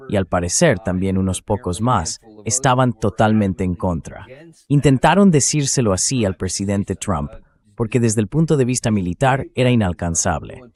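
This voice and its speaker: Low-pitched synthetic male commentary voice. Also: a little mellow. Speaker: translation voice-over narrator. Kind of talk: dubbed political commentary.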